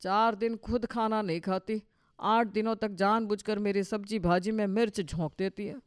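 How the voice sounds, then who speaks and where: A man's voice: sits high, fairly even intonation, moderate pace, smooth, no echo, studio quality, close to an everyday voice. An actor playing a weary, worried elderly father-in-law in a cartoon story, complaining in a resigned way. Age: older. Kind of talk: Hindi cartoon story dialogue.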